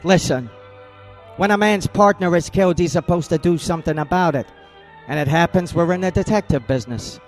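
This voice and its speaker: Male actor as tough radio-drama detective, clipped and menacing, slightly raised pitch. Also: brisk, faint music behind, close to an everyday voice. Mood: hard. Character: hard-boiled male private eye, cold. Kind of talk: 1940s-style radio drama acting.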